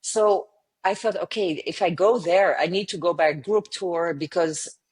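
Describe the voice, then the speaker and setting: A woman's voice: lowish voice, moderate pace, darkish tone, husky. A woman in her fifties with a French accent, telling her story earnestly as an interview guest, with many hesitations. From south of France. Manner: frequent hesitations and restarts, earnest. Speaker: guest, travel company founder.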